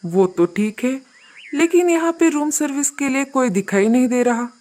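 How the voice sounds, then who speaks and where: A woman's voice: high voice, animated, normal speed, warm tone. A woman voicing a demanding, businesslike male bird who leads a travelling flock in a children's cartoon story. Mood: questioning.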